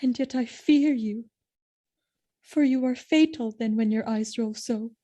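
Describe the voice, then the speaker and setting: An actress playing an innocent, devoted and frightened young wife in a Shakespearean audio drama, speaking verse in an earnest, pleading way. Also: female voice, on the high side, unhurried, warm tone, lo-fi sound, lightly acted. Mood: fearful.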